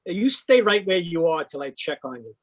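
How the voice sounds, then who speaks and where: A man's voice: fairly high pitch, very animated, normal speed. A man playing a crusty, irritable older man in a radio drama read live over a video call. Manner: gruff, irritable, suspicious. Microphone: home microphone on a video call.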